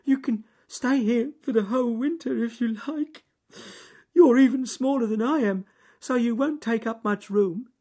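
High, fretful little fluffy rabbit. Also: male voice, very animated, brisk, husky, full character voice. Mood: tearful, tender. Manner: fretful, repeats himself. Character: small timid fluffy rabbit, excitable and kind-hearted.

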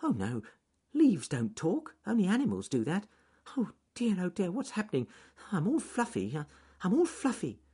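A little fluffy rabbit from a storybook. The voice is pushed up high and swoops about excitably. He is timid and kind-hearted, fretting and repeating himself. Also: fairly quick, breathy edge, exaggerated voice. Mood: panicked.

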